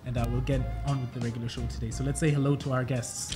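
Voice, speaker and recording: A woman's voice: extremely low pitch, level tone, fast talker, over loud music. A woman hosting a talk show, friendly and encouraging with her guests. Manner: friendly, encouraging, frequent "mm-hmm". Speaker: host.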